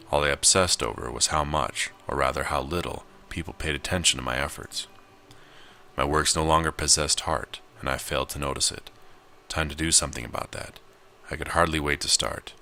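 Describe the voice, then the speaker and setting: A man's voice: rumbling low, creaky, bright, forceful tone. A man reading a visual novel aloud and giving casual commentary as he plays. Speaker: video game player and narrator.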